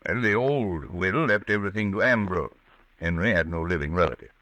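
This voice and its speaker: A man acting an assured, formal doctor in an old-time radio drama, speaking briskly. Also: deep voice, lively intonation, a bit bright, dry recording. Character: assured doctor, loyal friend of a troubled patient. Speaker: radio actor.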